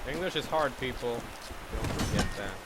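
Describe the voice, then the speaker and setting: A man's voice: mid-range voice, lively intonation, moderate pace, very noisy. Serbian-accented man streaming a game. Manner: chatty, swears, thanks viewers, reacts to gameplay. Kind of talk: casual live-stream commentary.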